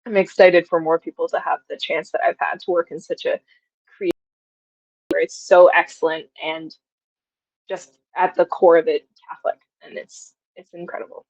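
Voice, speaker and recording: A woman's voice: lowish voice, flat delivery, normal speed, a little mellow, no echo, lo-fi sound. A young woman telling stories casually and effusively as an interview guest, often acting out remembered conversations. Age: young adult. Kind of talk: casual, anecdotal interview talk.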